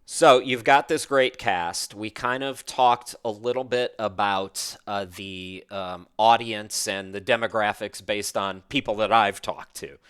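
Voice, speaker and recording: A man's voice: on the low side, fairly even intonation, a little slow, hardly any pauses, a bit bright, clean tone. A man hosting a casual interview podcast, informally thinking aloud as he frames his questions.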